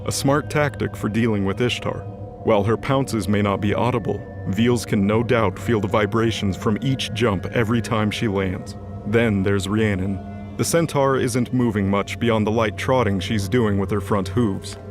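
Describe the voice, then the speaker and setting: A man reads scripted fantasy narration for an audio drama. His voice is on the low side, and music plays clearly underneath as he tells the story. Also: steady tone, normal speed. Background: music bed.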